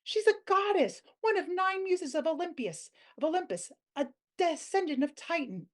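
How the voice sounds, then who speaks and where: A woman's voice: very high-pitched, expressive, normal speed, pitched above the performer's own voice. A woman acting a dreamy, theatrical, grandly indignant young female film devotee in a play reading. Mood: indignant, adoring. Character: dreamy, dramatic young woman who adores a musical film.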